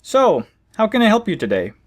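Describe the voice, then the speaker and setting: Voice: mid-range voice, huge pitch swings, brisk, no room sound, clean studio sound. A performer voicing a friendly, effusive, slightly flustered priest in an audiobook drama.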